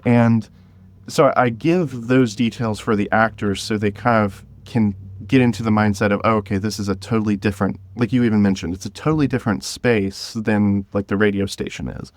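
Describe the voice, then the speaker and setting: A man's voice: on the low side, fairly even intonation, brisk, no echo. A man speaking as a podcast guest, explaining his creative work in a casual, conversational way. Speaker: podcast guest, scriptwriter of an audio drama.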